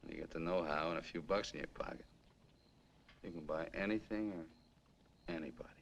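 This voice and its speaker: An actor playing a bitter, menacing armed killer in 1950s film noir style, with an American accent and tough, slangy talk. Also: male voice, deep voice, moderate pace, creaky. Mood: bitter, boastful. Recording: noisy old film soundtrack.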